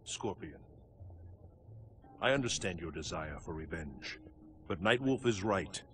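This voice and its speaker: An actor playing a wise, protective elder mentor in a video game, giving measured, solemn counsel. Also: male voice, sits low, expressive, fairly bright tone, faint music behind. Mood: grave, counselling. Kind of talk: dramatic video game acting.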